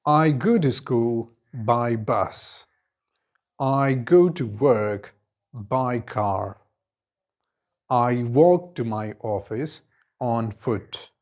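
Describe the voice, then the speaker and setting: A man, teaching an English lesson and giving clear, deliberate model answers, each repeated in several forms. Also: mid-range voice, a little mellow. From Khyber Pakhtunkhwa, Pakistan. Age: 20s.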